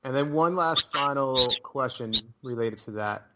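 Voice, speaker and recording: A man co-hosting a sports talk show, asking halting, rambling questions in a casual way. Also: medium pitch, heavy background noise. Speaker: podcast co-host. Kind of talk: casual interview chat.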